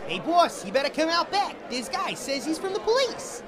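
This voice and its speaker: Dim gangster goon for radio drama, high swoopy voice, slangy, really noisy clicky recording. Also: male voice, sing-song, moderate pace, crowd noise. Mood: eager, uneasy. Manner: uneducated street slang, deferential to his boss. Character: bull-necked, loyal, dim gangster henchman with cauliflower ears. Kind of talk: old-time radio crime drama acting.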